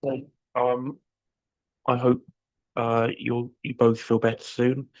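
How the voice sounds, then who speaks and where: A man on a video call with a sore throat, pitching a story idea in a hesitant, halting way. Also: completely flat delivery, darkish tone, muffled recording. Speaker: workshop participant.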